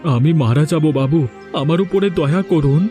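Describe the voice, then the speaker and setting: A humble, desperate village fisherman from a cartoon, acted by a man, pleading in a high voice. He speaks plaintive, deferential Bengali with a West Bengal accent, over background music. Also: moderate pace, warm tone, natural voice. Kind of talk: cartoon voice acting.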